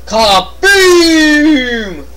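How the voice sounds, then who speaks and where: A man's voice: extremely high pitch, very animated, extremely slow, sharp tone, slight background noise. A man bantering casually over a video game, playful and given to silly exclamations. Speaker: let's play co-commentator.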